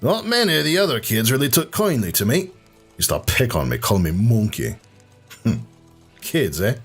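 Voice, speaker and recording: A man's voice: medium pitch, big pitch swings, normal speed, slightly rough, faint music behind. A man voicing a brash, foul-mouthed Irish drunken brawler in an audio drama, flippant and sardonic. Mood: bitter, reminiscing. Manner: sweary, flippant, colloquial. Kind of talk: fan fiction audio drama.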